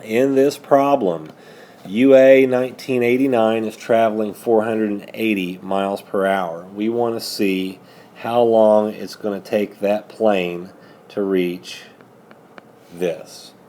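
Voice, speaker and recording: A man's voice: lowish voice, steady tone, takes it slowly, mellow, slight background noise. A man working methodically through a math lesson as a teacher, patiently prompting students with questions. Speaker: high school algebra teacher.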